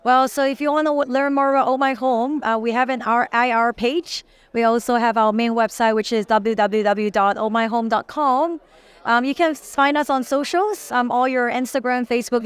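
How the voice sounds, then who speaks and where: A woman, a company CEO pitching her business in an interview, polished but with frequent fillers. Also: clear, resonant tone, dry recording. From Singapore. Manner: polished, promotional, uses many fillers.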